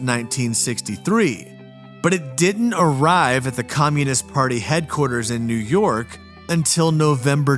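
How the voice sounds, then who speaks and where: Male podcast narrator, scripted documentary read, explanatory and measured, animated intonation over faint music. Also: on the high side.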